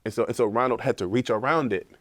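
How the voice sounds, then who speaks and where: A man's voice: medium pitch, quick pace, no room sound. A middle-aged man with a cold, talking earnestly in a podcast conversation and repeating words as he searches for his point. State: has a cold, sniffling. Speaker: guest researcher.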